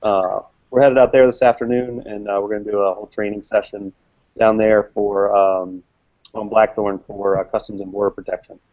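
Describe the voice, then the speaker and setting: A man answering interview questions as a guest expert, relaxed and full of fillers. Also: fairly low pitch, moderate pace. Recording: dull lo-fi webcast audio.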